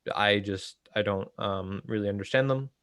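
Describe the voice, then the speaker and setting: A young man with a fairly low voice, recorded dry and close. He talks casually about political theory as a co-host, hedging and feeling his way through each thought. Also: a bit bright, smooth, no room sound. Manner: halting, hedging, thinking aloud. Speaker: co-host of a book read-along series.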